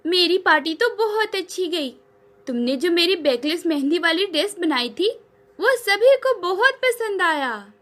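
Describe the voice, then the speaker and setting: A woman's voice: very high voice, very animated, measured pace, a bit bright, full character voice. A woman voicing a dramatic, well-off young city woman in a cartoon story, gushing and dropping English words. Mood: friendly.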